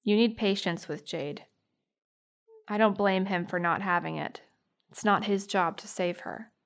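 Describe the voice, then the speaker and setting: A woman acting a thoughtful, forgiving teenage girl who gives measured testimony in an audio drama. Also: mid-range voice, close to an everyday voice. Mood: understanding. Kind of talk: audio drama monologue, police interview testimony.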